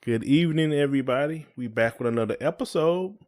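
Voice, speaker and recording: Man, middle pitch, podcast co-host, casual chat, informal hesitant phrasing, question-led. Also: fast talker, darkish tone.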